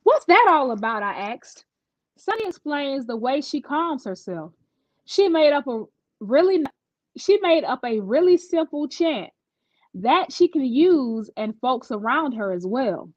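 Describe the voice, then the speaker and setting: A woman storyteller reading a children's picture book aloud in an expressive style. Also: high voice, measured pace, no room sound, muffled recording.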